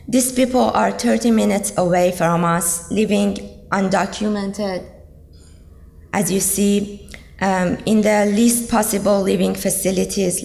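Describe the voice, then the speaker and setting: Slow, gravelly-voiced young woman from Iran. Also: medium pitch, a little mellow. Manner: earnest, hesitant, many ums. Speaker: student speaker. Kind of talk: personal testimony speech. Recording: echoey hall, long reverb.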